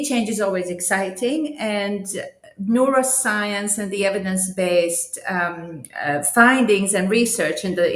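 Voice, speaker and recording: A woman from Croatia talks about her career as a change specialist, thinking aloud at an unhurried pace. Her voice sits in the middle of the range, and the video call picks up a roomy echo around her. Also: medium pitch. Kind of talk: interview over video call.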